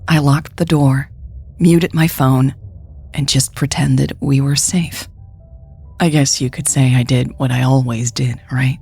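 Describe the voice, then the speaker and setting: A woman's voice: low-pitched, vocal fry, darkish tone, husky. A woman narrating a scripted first-person story for a podcast in an intimate, confiding way.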